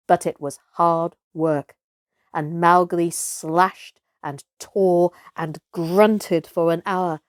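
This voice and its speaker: A woman reading a children's adventure story aloud as an expressive narrator. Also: mid-range voice, no echo, studio quality. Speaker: storyteller, audiobook reader.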